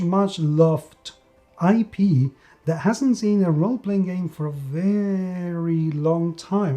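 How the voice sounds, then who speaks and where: Guy reviewing tabletop rpgs, mellow warm tone, really enthusiastic and emphatic, faint music under him. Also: a little high. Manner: enthusiastic, emphatic, repeats words for stress. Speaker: host and reviewer of tabletop role-playing games. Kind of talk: scripted-sounding video review.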